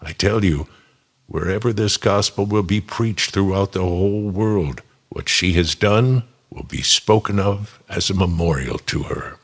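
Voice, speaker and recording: A man with a husky, gravelly voice giving a solemn, measured dramatic reading of scripture as a voice actor. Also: low-pitched.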